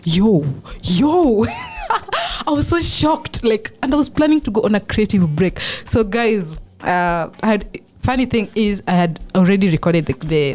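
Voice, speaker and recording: A young Kenyan woman in her twenties with a loud, big voice, hosting her own podcast in bubbly casual chat and mixing in Swahili slang. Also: medium pitch. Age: 20s. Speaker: podcast host.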